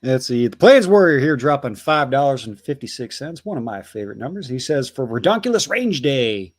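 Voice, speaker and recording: A man's voice: medium pitch, sing-song, a little fast. A man hosting a casual livestream, reading out viewer donations and messages in a chatty, swearing manner.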